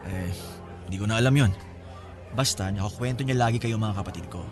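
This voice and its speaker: A man acts a breezy older brother in a radio drama. His voice is on the low side, casual and frank, with a teasing, talkative manner over clearly audible music. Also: fairly quick, natural voice. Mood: candid, casual. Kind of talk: Filipino radio-style drama dialogue.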